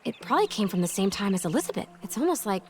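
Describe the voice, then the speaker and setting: Woman playing a lively, searching young game heroine. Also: medium pitch, racing, natural voice. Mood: wistful. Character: earnest, questioning young heroine searching for her mother. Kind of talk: animated video game cutscene acting. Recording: music underneath the voice. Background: music.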